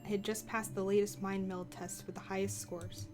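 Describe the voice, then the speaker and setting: A woman voicing a young, sharp-tongued woman telling of her past in a visual novel, informal and snappy. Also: medium pitch, little pitch movement, moderate pace, music in the background, lightly acted. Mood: reflective. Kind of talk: visual novel voice-over read aloud.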